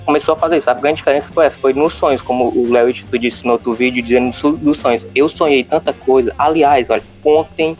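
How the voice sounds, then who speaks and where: A man's voice: mid-range voice, quick pace, few pauses, darkish tone, soft background music. Caller: man, Fortaleza accent, rambling, muffled lo-fi line. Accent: Fortaleza Brazilian Portuguese. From Fortaleza, Brazil. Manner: chatty, rambling with restarts. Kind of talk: casual chat.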